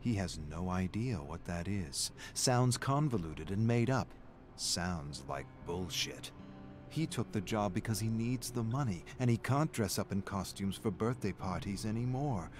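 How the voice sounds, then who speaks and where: A man reading scripted game lore in a measured, dramatic way. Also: fairly low pitch, lively intonation, noisy background. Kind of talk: scripted story narration.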